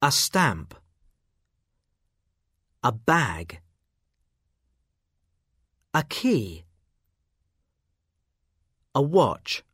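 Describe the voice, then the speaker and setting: A man reading vocabulary items for language learners slowly and deliberately, one at a time. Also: mid-range voice, very animated, a bit bright, breathy edge, no room sound, clean studio sound. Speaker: course audio voice actor. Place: dry studio.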